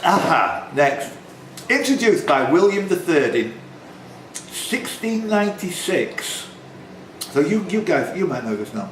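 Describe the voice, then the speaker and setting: A man's voice: a little high, big pitch swings, slow pace, a bit bright. A man hosting a live pub quiz, reading questions and scores with bantering, self-deprecating, hesitant asides. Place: pub function room, indoors. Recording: roomy pub sound with background noise.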